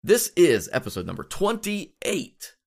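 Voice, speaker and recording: A man hosting a casual podcast, a bit hoarse after shouting at a game, chatting in a rambling way with many ums and restarts. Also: high voice, wildly swooping pitch, measured pace. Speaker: podcast host.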